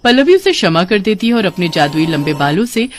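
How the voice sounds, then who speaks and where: A woman's voice: lowish voice, big pitch swings, fairly quick, a little hiss or hum. A woman storyteller reading a scripted fairy-tale narration.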